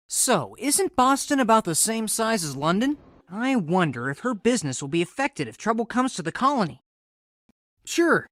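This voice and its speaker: An actor playing an earnest, eager young colonial American reporter in a game, speaking clearly in an explanatory manner. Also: male voice, high voice, a bit bright, dry recording, clean studio sound. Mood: eager, curious. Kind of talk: scripted game character dialogue.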